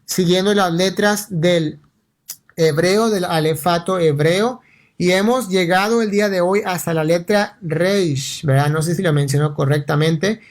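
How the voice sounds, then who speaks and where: A male pastor giving a Bible teaching on the radio in an earnest, steady way, often checking in with "right?" and restarting words. Spanish is not his first language. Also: sits high, a little slow. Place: radio studio.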